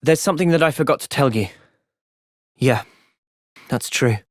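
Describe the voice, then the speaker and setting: A young man playing an earnest, thoughtful young soldier hero in a fantasy video game's cutscenes, with expressive delivery. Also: medium pitch, normal speed, dry recording, lightly acted. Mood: calm, thoughtful. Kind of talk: video game cutscene acting.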